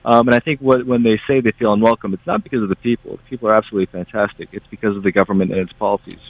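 Brazil-born man, student rep, low voice, fast and emphatic, muffled line. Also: fairly even intonation, dry recording. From Brazil, raised in the United States. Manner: earnest, emphatic. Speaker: student union officer. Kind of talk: radio interview over a line. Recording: dull lo-fi line.

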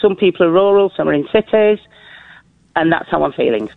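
A woman with a deep, slightly crackly voice, calling in to a radio show and speaking hesitantly and nervously. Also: expressive, fairly quick, creaky, dry recording. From northern England. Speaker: caller. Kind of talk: phone-in call to a radio show. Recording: tinny phone line.

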